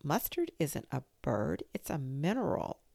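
Woman doing a polite little girl, soft and curious, voice low with some gravel. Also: big pitch swings, unhurried, no echo, heavily acted.

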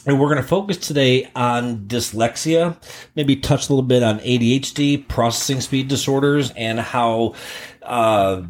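Male psychologist host, middle pitch, loose halting delivery, office interview. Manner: halting, lots of fillers and restarts. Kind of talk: casual interview podcast.

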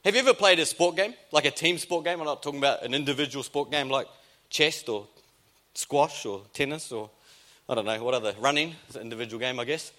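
A man's voice: medium pitch, fast, sharp tone. A man with a New Zealand accent, a pastor giving a conversational sermon with jokes and emphatic repetition.

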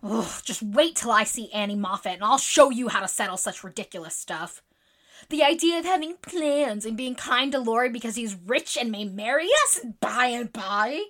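A spirited teenage tomboy played by a woman in a dramatized storybook. Her voice is fairly high and forceful, with a blunt, loyal, brash way of talking. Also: lively intonation, normal speed. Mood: indignant, scornful. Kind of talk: dramatized audiobook character acting.

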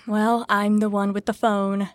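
A woman's voice: mid-range voice, little pitch movement, slow pace, no room sound, lightly acted. An actress in an audio drama playing an exasperated, sardonic young woman who leads a group lesson in a weary, lecturing manner. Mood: weary sarcasm.

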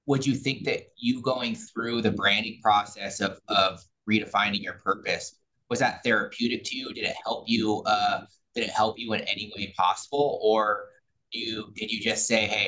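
Bright, forceful male podcast host. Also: lowish voice, poor audio. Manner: wordy, repeats and rephrases as he goes. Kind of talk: conversational interviewing.

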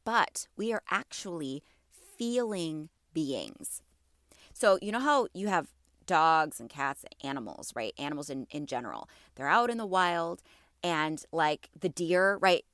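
A woman's voice: low-pitched, lively intonation, measured pace, no echo, clean studio sound. Stuffy-voiced woman hosting a wellness talk. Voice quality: slightly congested. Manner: warm encouraging, asks listeners questions. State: stuffy from allergies. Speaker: podcast host. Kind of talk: casual wellness talk to listeners.

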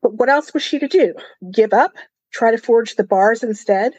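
A woman's voice: on the high side, normal speed, a little mellow, husky, dry recording, highs cut off. A woman co-hosting a podcast, casually discussing a book and reading passages aloud. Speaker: podcast co-host.

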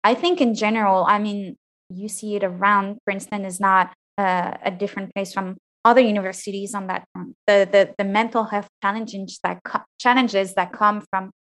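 Woman in her thirties, high voice, interview guest, frank and reflective, restarts often. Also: fairly even intonation, moderate pace, a bit bright. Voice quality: fairly high-pitched voice. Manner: thoughtful, frank, with frequent restarts. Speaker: interview guest, PhD graduate.

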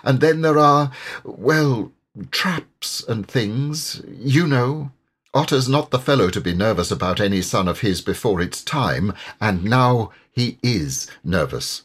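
A man's voice: medium pitch, lively intonation, slow pace, husky, pitched above the performer's own voice. A man voicing a kindly water rat for an audiobook, a grave and earnest friend full of concern. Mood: grave, worried. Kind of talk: audiobook character voice.

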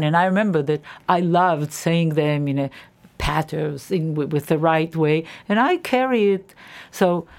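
This is a woman in her seventies who grew up in Israel, her voice sitting a little low. She recalls her schooling and teaching career in an interview, earnest and animated, often restarting her sentences. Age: 70s.

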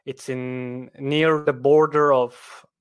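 Slow, halting Swiss German man. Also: mid-range voice, little pitch movement, clear, resonant tone. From Switzerland. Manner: halting, with frequent restarts and "right?" tags. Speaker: podcast guest. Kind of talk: casual interview chat.

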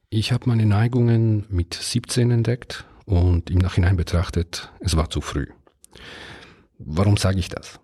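A man's voice: sits low, warm tone, breathy edge. A man in his fifties from Ticino, a native Italian speaker with an Italian accent, hosting his solo podcast in a candid, conversational way with small hesitations. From Ticino, Switzerland. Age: 50s. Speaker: podcast host.

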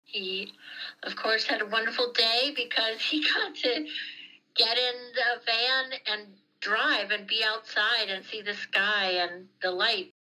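A woman's voice: fairly high pitch, slow, bright, forceful tone, poor audio. A middle-aged woman speaking candidly and reflectively in a conversational interview, repeating words for emphasis. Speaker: interviewee, mother of the story's subject.

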